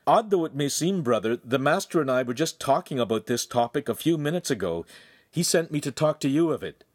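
A man voicing a calm, good-humoured young man in a story, earnest and conciliatory. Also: mid-range voice, brisk, no echo, studio quality, pitched above the performer's own voice, natural voice. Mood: apologetic.

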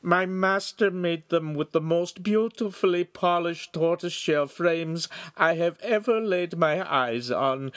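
An eager salesman from a storybook. The voice is very high and nasal, swooping with fawning enthusiasm, recorded dry. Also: dry recording.

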